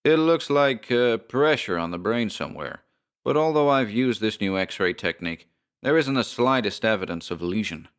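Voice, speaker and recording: A man voicing a puzzled, careful doctor who talks in a hesitant, measured way. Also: mid-range voice, lively intonation, clear, resonant tone, pitched above the performer's own voice, lightly acted.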